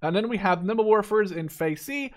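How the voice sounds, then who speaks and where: A man's voice: sits high, animated, a little fast. A man in his twenties giving casual, unscripted gameplay commentary in a rambling, opinionated, informal way. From Netherlands. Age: 20s. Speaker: gaming YouTuber reviewing a game deck.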